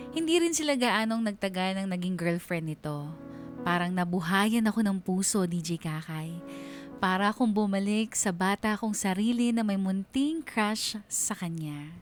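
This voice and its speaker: A woman's voice: medium pitch, moderate pace, soft background music, close to an everyday voice. An actress playing a smitten young woman narrating her own love story for a radio drama, confiding and giddy. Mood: wistful, hopeful.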